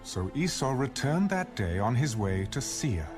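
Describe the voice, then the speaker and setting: Male scripture narrator, lively intonation, mid pitch, measured storytelling, prominent music bed.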